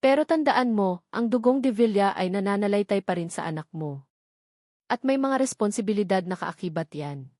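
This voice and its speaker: A woman voicing a stern, wealthy old grandfather, measured and authoritative, in an audiobook romance drama. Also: medium pitch, lively intonation, a little mellow, dry recording, studio quality, close to an everyday voice. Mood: measured warning.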